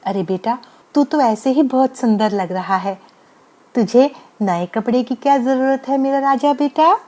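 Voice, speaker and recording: A woman's voice: sits high, measured pace, dark tone, voice pushed up. A woman voicing a poor, loving village mother in a bedtime story, coaxing and affectionate. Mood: doting.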